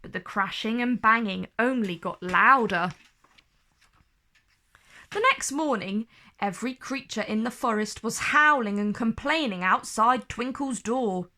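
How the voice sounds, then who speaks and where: A woman storyteller reading a children's book aloud in an expressive, careful, theatrical way. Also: on the high side, unhurried.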